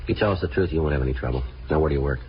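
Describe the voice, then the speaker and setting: An actor playing a terse, businesslike police detective, clipped and deadpan, in a 1950s radio police procedural. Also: male voice, extremely low pitch, very fast, slight background noise. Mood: businesslike, probing. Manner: clipped, deadpan, matter-of-fact. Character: terse, businesslike police detective, narrating his own case. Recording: muffled old radio broadcast recording.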